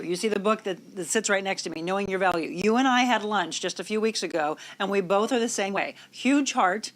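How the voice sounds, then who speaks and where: A woman hosting a conversational interview show, talking at length in an effusive, affirming way. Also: medium pitch. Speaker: talk show host.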